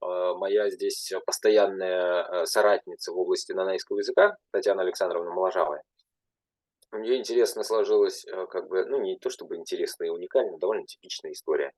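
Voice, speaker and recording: A young man, a language teacher, speaking at a panel in a halting way with many filler sounds and restarts. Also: low-pitched, a little mellow, dry recording. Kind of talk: panel talk.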